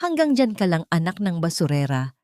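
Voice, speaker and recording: A woman's voice: on the low side, big pitch swings, moderate pace, dark tone, no room sound, clean studio sound. A woman voicing a cruel, haughty rich woman who speaks with cold, condescending menace in an audio story. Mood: sneering contempt.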